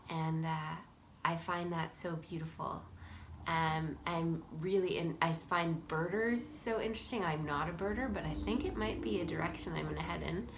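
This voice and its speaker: Low-voiced woman over background noise. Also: moderate pace, creaky. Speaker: playwright. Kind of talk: informal reflective talk to camera. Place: indoors, furnished room at home.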